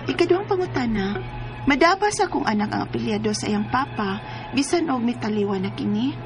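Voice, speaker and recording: Distraught young cebuano filipino woman, radio soap style, really high voice, music behind. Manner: melodramatic, emotional. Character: distraught pregnant young woman bereaved of her fiancé. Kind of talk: Bisaya radio drama acting.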